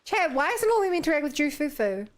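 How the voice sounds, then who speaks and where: High-voiced woman streaming a game. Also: very animated, quick pace. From New Zealand. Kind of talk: livestream gaming chat and reading dialogue aloud.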